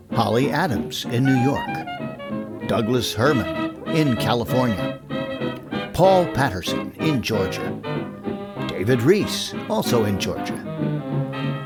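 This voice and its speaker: A male announcer gives scripted closing narration at a middle pitch. He takes his time with animated intonation while music plays loudly behind him.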